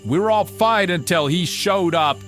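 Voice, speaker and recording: A man's voice: high voice, normal speed, fairly bright tone, soft background music. A man playing a sick, enraged villager ranting with religious fervour in an audio drama. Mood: raging, accusing. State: sick, dying. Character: feverish, enraged zealous male villager caught poaching sheep.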